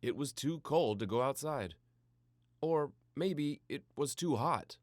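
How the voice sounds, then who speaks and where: A man reading a scripted children's story in a playful, theatrical way with dramatic pauses. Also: mid-range voice, lively intonation, no room sound, clean studio sound. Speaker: storybook narrator.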